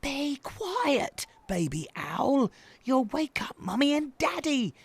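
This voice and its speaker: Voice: high voice, sing-song, slow, gravelly, dry recording, voice pushed up, heavily acted. A storyteller voicing a bossy young brother owl who lectures in an exasperated, insistent way for a children's story. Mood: hushed, insistent.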